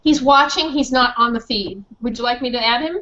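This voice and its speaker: Halting mature woman on a muffled call. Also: a little high, bright, forceful tone. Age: middle-aged or older. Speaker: children's author and guest. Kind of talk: informal question and answer over video call. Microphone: video call. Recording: dull, muffled video-call audio.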